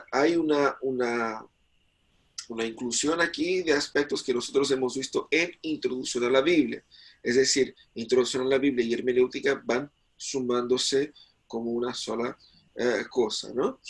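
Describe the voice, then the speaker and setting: Husky Brazilian-born man lecturing. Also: mid-range voice. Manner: hesitant, many fillers and restarts. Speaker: Bible teacher. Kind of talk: online class lecture.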